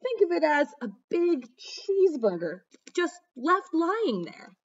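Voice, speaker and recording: Lady reading as a sly wolf pleading innocence, storybook style, slow n swoopy, kinda muffled audio. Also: very high voice, big pitch swings, pitched above the performer's own voice, full character voice. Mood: mock-innocent. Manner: dramatic, drawn-out storytelling with mock-innocent asides. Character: aggrieved wolf narrator, self-justifying, sly, folksy storyteller.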